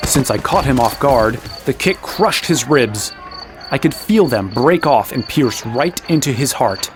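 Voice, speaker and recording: A man's voice: medium pitch, expressive, a little slow, music in the background, natural voice. An actor playing an earnest young male fighter, telling his own story as narrator in a fantasy audio drama. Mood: earnest, recounting. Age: young adult. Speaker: first-person narrator.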